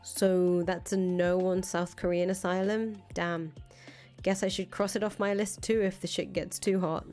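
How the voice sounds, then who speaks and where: Woman playing visual novel rebel leader: lowish voice, blunt, casual, volatile, music underneath. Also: little pitch movement, a little slow, a little mellow, natural voice. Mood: wry, casual. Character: brash, foul-mouthed revolutionary leader, volatile but attached to her friend. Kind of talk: visual novel dialogue reading. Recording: music behind the voice.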